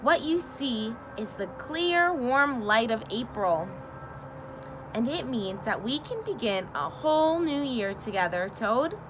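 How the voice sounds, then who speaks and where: A woman voicing an eager, cheerful male frog in a storybook, with high, loud calling. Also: lively intonation, slow pace, background noise.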